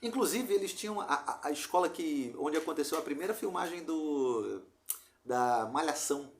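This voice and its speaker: A man's voice: on the high side, animated, moderate pace, a little hiss or hum. A man with a Rio de Janeiro Brazilian accent chatting casually as a podcast host, telling anecdotes in a slangy, rambling way. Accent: Brazilian Portuguese, Rio de Janeiro. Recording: roomy echo.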